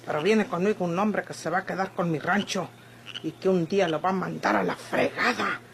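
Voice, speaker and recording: A woman's voice: on the low side, brisk, vocal fry, a little hiss or hum. An actress playing a feisty, blunt ranch woman with a rural Mexican accent and rustic speech, in an old radio drama. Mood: fiery, scornful. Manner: rustic, sharp-tongued speech. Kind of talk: Mexican golden-age radionovela acting.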